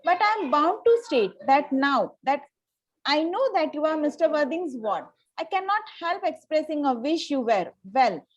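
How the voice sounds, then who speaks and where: A woman's voice: high voice, big pitch swings, measured pace, low-quality recording. A woman reading the part of a haughty, gushing young Victorian society lady in an amateur play reading, formal and self-assured. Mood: coolly polite. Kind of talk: amateur play table read.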